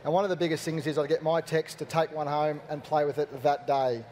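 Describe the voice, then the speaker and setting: Fast-talking, mellow-voiced IT manager, a man. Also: a little high, level tone, a little hiss or hum. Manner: brisk, enthusiastic, practical. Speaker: school IT manager. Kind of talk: panel discussion answer.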